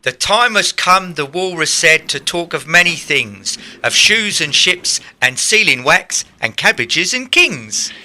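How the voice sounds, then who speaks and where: A cheeky young lad in a radio play, with a high, very bright and sharp voice. He is naive and curious, a son forever asking questions. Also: animated, unhurried, few pauses, heavily acted. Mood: playful.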